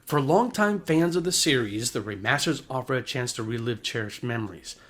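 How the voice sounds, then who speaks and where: A male narrator reads a scripted video essay. His voice sits at a medium pitch, and his delivery is polished and formal, explaining each point in full sentences. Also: lively intonation, brisk, fairly bright tone.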